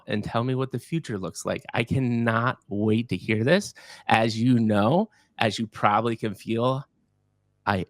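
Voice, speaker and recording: Effusive male podcast host in his forties, low voice, dry close mic, quick to repeat himself. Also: no echo. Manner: energetic, effusive, repeats words when excited. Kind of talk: enthusiastic podcast interviewing.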